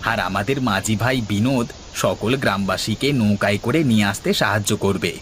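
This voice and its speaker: A man's voice: fairly low pitch, moderate pace, a bit bright, a little hiss or hum, lightly acted. An actor, a man, playing a helpful, deferential village fish seller in cartoon dialogue, eager and respectful. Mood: earnest.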